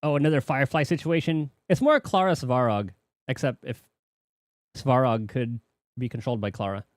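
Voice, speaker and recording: A man's voice: mid-range voice, normal speed. A man giving casual reaction commentary on a game as a streamer, talking into a close mic.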